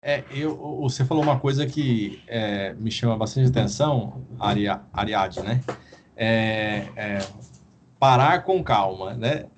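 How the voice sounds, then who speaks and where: A man, a guest professor, explaining at a measured pace in a podcast discussion and often ending his points with a questioning tag. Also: fairly low pitch, slight background noise. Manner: measured, explanatory, frequent "né?" tags.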